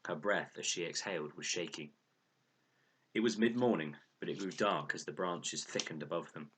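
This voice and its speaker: A man with a deep voice narrates a children's book. His tone is husky and breathy, and he reads expressively as a storyteller. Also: normal speed, fairly bright tone, low-quality recording. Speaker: storyteller reading aloud.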